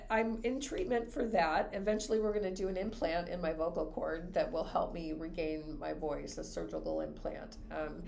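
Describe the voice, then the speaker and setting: A woman in her fifties with a raspy voice, a radio broadcaster chatting as an interview guest. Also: on the low side, background noise. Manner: chatty, animated storytelling. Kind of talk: interview conversation.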